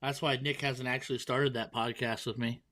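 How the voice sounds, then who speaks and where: A man's voice: medium pitch, level tone, moderate pace, a bit bright. A man commentating a darts tournament, joking and poking fun at himself. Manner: playful, self-mocking banter. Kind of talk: joking live sports commentary.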